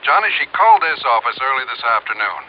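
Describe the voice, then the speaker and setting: Flustered American insurance man played by a male actor, quick and animated, old radio drama, muffled. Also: mid-range voice, close to an everyday voice. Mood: explanatory. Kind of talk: 1950s radio drama acting. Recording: muffled, hissy old radio phone call.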